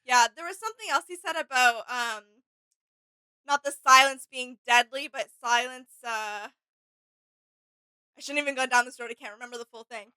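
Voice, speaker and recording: High, clear-voiced woman chatting casually. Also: normal speed, pauses often, pushed and bright, no room sound, low-quality recording. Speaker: reaction video co-host. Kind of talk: casual chat, sharing impressions.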